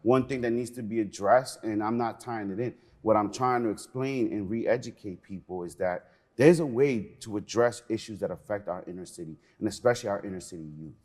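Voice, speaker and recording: A man's voice: fairly low pitch, brisk, a little mellow. A middle-aged man from Peru, raised in New York, speaking as a guest in an interview, earnest and passionate. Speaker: museum cultural program manager, guest.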